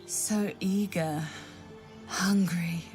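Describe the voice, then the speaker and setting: An actress playing a mysterious, seductive woman who visits dreams, coaxing softly and intimately in a video game. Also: medium pitch, fairly even intonation, extremely slow, soft background music. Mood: seductive, soothing. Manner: breathy, coaxing, intimate, often whispering. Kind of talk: video game dialogue acting.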